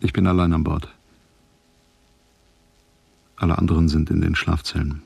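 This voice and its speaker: Very deep, gravelly lone spaceman. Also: normal speed, dry recording, heavily acted. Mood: grave, lonely. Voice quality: gravelly, husky. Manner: flat, grave, monotone. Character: lonely, grave spaceship crewman recording a log. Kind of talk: radio drama log narration.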